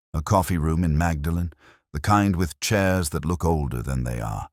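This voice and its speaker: A man narrating a first-person detective story in a measured, melancholy, literary manner. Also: extremely low pitch, dry recording, studio quality. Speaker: audiobook narrator.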